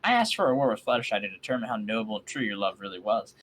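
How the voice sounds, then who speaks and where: A man reading the lines of a regal, gracious female pony princess, in a calm, stately way. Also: fairly low pitch, racing, slight background noise, voice pushed down.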